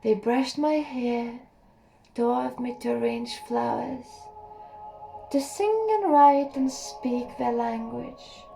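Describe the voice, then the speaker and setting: A woman reading a first-person story aloud as an audiobook, soft and unhurried, sometimes sinking to a near whisper. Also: fairly high pitch, gravelly, faint music behind, rough recording. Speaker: audiobook reader.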